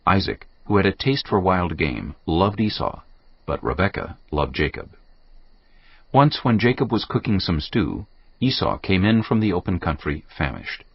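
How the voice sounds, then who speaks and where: A man reading scripted narration for a dramatized audio Bible in a measured, storytelling manner. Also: sits low, sing-song, husky, no room sound. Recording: dull audio, faint music.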